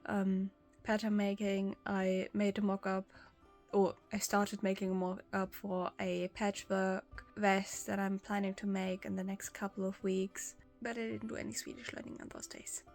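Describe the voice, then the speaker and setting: A young woman, German by first language, chats in English to her camera in a loose, rambling way, often restarting her sentences and laughing softly. Her pitch sits in the middle of the range. Gentle music plays faintly underneath. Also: level tone, moderate pace, gravelly. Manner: chatty, with frequent restarts and small laughs. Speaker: language-learning vlogger. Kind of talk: casual vlog talk to camera.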